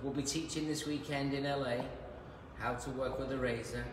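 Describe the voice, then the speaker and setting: Chatty croaky-voiced man teaching haircuts. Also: mid-range voice, fairly even intonation, normal speed. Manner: chatty, joking, teaching as he cuts. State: slightly croaky voice. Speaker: hairdresser and educator. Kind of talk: live haircut demonstration. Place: large bright hair salon, indoors. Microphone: phone camera held nearby. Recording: echoey salon room with noise.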